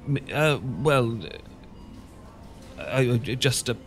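A man's voice: mid-range voice, slow, faint music behind. Man playing a stammering, bashful British professor. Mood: awkward, nervous. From Britain. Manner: stammering, hesitant, full of false starts. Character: awkward, bashful, sentimental British English professor, no longer young. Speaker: audio roleplay performer. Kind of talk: ASMR romantic roleplay acting.

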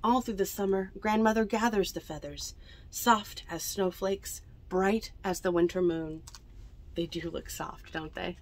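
A young woman reads picture books aloud to children in an unhurried storytelling manner, adding chatty asides about the pictures. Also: lowish voice, a little hiss or hum. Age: young adult. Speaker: library storytime reader. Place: indoors among bookshelves in a small library bookmobile.

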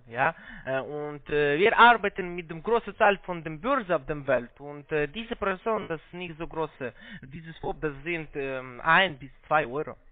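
A non-native speaker, a man with a foreign accent and halting, broken grammar, giving a phone sales pitch. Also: dry recording. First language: not German. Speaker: call-centre investment salesman. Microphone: telephone. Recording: muffled band-limited phone line.

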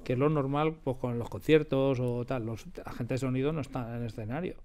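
A man's voice: medium pitch, fairly even intonation, fairly quick, a little mellow. A man with a Castilian Spanish accent, explaining and telling anecdotes in an interview, emphatic and repeating phrases for stress. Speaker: radio drama producer, interview guest. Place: radio drama studio.

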